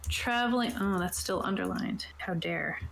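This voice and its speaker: A woman's voice: mid-range voice, moderate pace, vocal fry, fairly bright tone, slight background noise. A woman game master casually explaining a tabletop game setup, chatty and informal with jokey asides. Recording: roomy, echoey sound.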